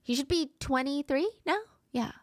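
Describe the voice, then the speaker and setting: A woman's voice: high voice, normal speed, no room sound, clean studio sound. A woman with a cold, sounding stuffy and nasal, telling a true crime story conversationally and asking her viewers questions. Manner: conversational, asks the audience questions.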